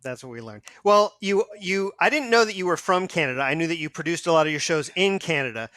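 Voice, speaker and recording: Male co-host with a bright, slightly raised voice; halting, trailing delivery. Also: a little high, expressive, no room sound, studio quality. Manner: hesitant, trails off. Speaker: podcast co-host. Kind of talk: casual chat.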